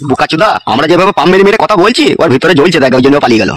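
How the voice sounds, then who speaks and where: পুরুষের অভিনয়ে দ্রুতভাষী, মাতব্বর পাড়ার ওস্তাদ. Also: male voice, on the high side, animated, racing, a bit bright, no room sound, studio quality. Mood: bossy. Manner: bossy, teasing, swearing. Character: bossy, mocking, crude club ringleader. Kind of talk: comedy cartoon dubbing.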